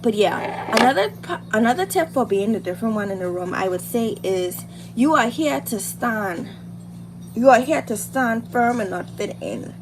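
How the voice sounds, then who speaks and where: A Bahamian woman hosts a casual livestream over noticeable background noise. Her voice sits a little high, and she talks in an informal, motivational girl-talk style, repeating phrases to drive them home. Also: expressive, normal speed. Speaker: livestream host, beauty and hair content creator. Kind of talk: casual chat while doing makeup, motivational girl talk.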